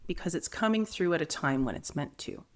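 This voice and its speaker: Hoarse, calm woman vocal coach. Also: low voice, fairly quick. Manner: calm, instructive, gently encouraging. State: hoarse after a cold. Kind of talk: guided breathing lesson.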